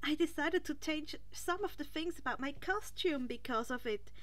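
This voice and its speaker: A woman voicing an excitable, dramatic superheroine with a gushing, squealing delivery in a fan fiction reading. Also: sits high, normal speed, pitched above the performer's own voice. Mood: excited, gushing.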